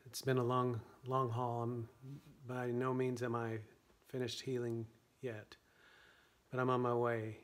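An older man with a hoarse, weakened voice, talking earnestly and quietly to the camera about a worship song he wrote. He is seated at home at a piano, speaking into a stand microphone. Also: medium pitch, monotone, measured pace. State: hoarse, voice weakened after surgery. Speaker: worship songwriter teaching a song. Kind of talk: earnest spoken talk to camera. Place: home room with a piano, indoors. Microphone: stand microphone in front of him.

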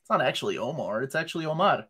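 Host, man, non-native English speaker, bright higher voice, animated and joking, video call. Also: very fast, husky. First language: not English. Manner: animated, joking, reads listings aloud. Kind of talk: casual panel chat.

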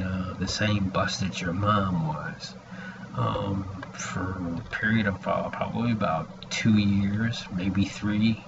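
A man in his forties with a gravelly voice, giving a halting, rambling personal message to a webcam at home. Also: deep voice. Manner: halting, hesitant, with long pauses. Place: small room indoors at home. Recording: dull lo-fi webcam audio, background noise.

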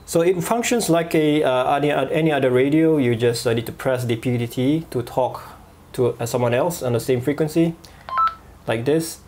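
A man's voice: mid-range voice, a little mellow, slight background noise. Hesitant man reviewing a gadget. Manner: halting, many fillers and restarts. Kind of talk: product review voice-over.

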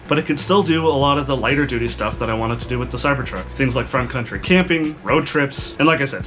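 A young man from Canada talking casually to camera as host of his outdoors channel, in a home workshop room. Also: medium pitch. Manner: relaxed, chatty, conversational.

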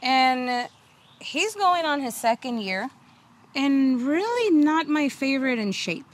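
A woman's voice: sits high, big pitch swings, takes it slowly. A woman in her thirties giving a casual tour of her home garden outdoors, talking in a chatty way with many fillers and restarts. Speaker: garden video host.

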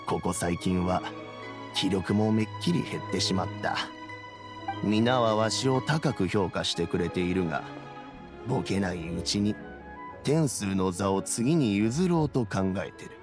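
Calm wise old gentleman for a game, lowish voice, measured, music behind. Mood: resigned, reassuring. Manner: measured, old-fashioned elder's speech. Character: dignified kindly elderly statesman, grey-haired, calm and wise. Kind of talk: Japanese video game voice acting.

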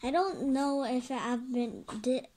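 A woman's voice: high voice, slow pace, mellow. A woman reading a children's graphic novel aloud and voicing the dialogue, stumbling over and repeating words now and then. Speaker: storybook reader.

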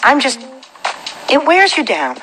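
Lively, fretful young woman. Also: high voice, unhurried, full character voice. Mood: defensive, weary. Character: young woman, anxious, practical, teasing best friend. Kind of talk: animated game dialogue. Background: music.

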